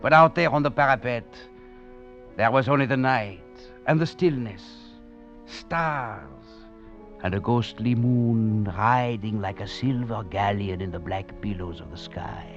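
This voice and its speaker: An actor playing a roguish adventurer on the run, in a theatrical, grandiloquent old radio drama style. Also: male voice, medium pitch, measured pace, close to an everyday voice. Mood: dramatic narration. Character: roguish, swaggering male thief and adventurer on the run. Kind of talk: 1940s-50s radio drama acting. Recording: muffled old radio recording with music behind.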